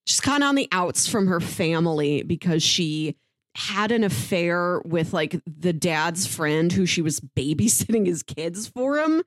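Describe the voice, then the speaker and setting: A woman's voice: lowish voice, dry recording. A woman talking casually and thoughtfully as a podcast co-host, reviewing a story in conversation.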